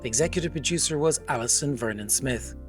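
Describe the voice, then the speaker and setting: A male announcer reading the credits of a radio drama in a measured, formal way. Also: medium pitch, faint music behind.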